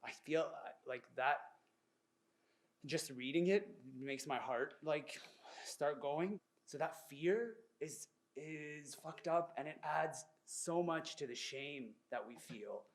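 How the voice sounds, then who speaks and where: A man reflecting in a group discussion, halting and emphatic, repeating his words. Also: on the high side. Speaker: panelist.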